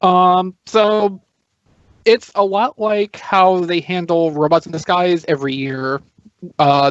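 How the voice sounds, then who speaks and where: A man's voice: a little high, no echo, dull audio. A man chatting casually as a podcast panelist, explaining and thinking aloud as he goes. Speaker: toy news podcast panelist.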